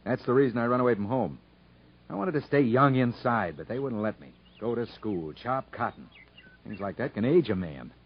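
A man's voice: mid-range voice, animated, moderate pace, slightly rough. An actor playing a stubborn, folksy young country man in a 1950s radio drama. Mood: tender, easygoing. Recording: dull 1950s radio broadcast recording.

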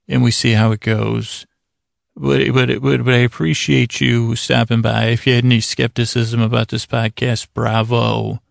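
A man hosting a bedtime podcast in a meandering, halting monologue, gently silly and self-deprecating, with words repeated and thoughts drifting off into tangents. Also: mid-range voice, no echo, clean studio sound. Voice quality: gravelly, creaky. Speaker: podcast host. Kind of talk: rambling bedtime monologue to help listeners sleep.

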